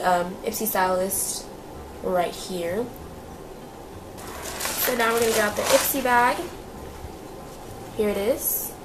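A woman's voice: medium pitch, noisy background. Chatty young woman, slightly nasal. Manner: chatty, lots of fillers and repeats. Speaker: beauty vlogger. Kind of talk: casual product review.

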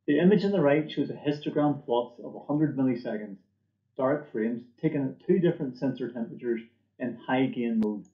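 Middle aged guy reading a company product pitch at his desk, echoey room, slightly muffled sound. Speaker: company presenter. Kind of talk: scripted product presentation. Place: indoor room, seen on webcam.

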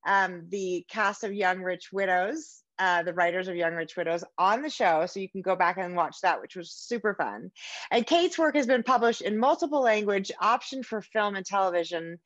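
A woman's voice: mid-range voice, a bit bright, no echo, lo-fi sound. A middle-aged woman hosting a podcast, introducing and interviewing a guest over a video call. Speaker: podcast host and suspense author. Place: home room with bookshelves, indoors.

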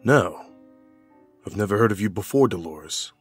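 An actor playing an irritable, evasive husband in an audio horror drama, with a husky voice. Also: male voice, lowish voice, lively intonation, moderate pace, soft background music. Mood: pleading, uneasy.

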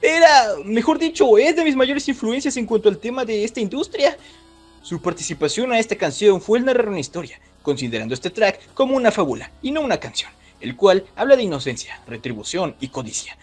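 Male video host, high register, very lively intonation, expressive scripted narration. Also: fairly quick, soft background music.

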